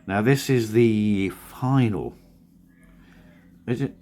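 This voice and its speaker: A man with a croaky, husky voice from a cold, talking in a rambling, drowsy, self-correcting way as a cartoonist vlogger. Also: fairly low pitch, takes it slowly, warm tone. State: hoarse from a cold. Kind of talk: casual studio vlog talk.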